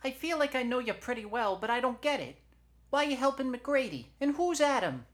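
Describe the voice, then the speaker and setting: A storyteller voicing a nagging, puzzled talking head that lives on a woman's shoulder, in a shrill voice. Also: very animated, normal speed. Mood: puzzled, nosy.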